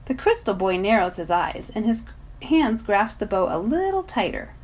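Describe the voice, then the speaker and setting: A woman reads a children's novel aloud in expressive narration. Her medium-pitched voice moves up and down a great deal, as a volunteer storyteller would. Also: moderate pace.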